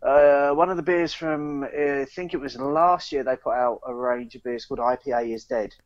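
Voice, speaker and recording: A man's voice: medium pitch, steady tone, moderate pace, no room sound, dull audio. A British man co-hosting a casual beer podcast, often repeating words as he gets his sentences going. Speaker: podcast co-host.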